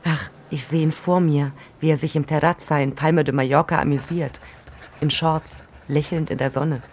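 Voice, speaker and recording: Eine Schauspielerin spielt im Hörspiel eine Romanautorin in den Dreißigern, die ihre Notizen laut denkend vorträgt. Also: female voice, low voice, steady tone, normal speed, a little mellow, slight background noise, close to an everyday voice. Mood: dreamy. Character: young female novelist of thirty-three, keen, sketching her ideas. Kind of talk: radio play diary monologue.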